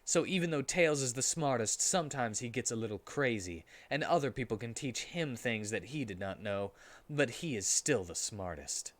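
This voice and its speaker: Guy with a mid-pitched voice reading a goofy story out loud, theatrical and mock serious. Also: expressive, normal speed. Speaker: streamer reading a story aloud. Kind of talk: comic dramatic reading.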